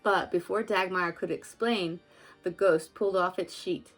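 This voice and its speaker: Brief: young mom reading a storybook aloud, gentle and expressive, slightly low-pitched voice. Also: female voice, measured pace, faint music behind, rough recording. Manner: gentle, expressive, talks to the child. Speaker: mother reading to her child. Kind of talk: children's book read-aloud and chat.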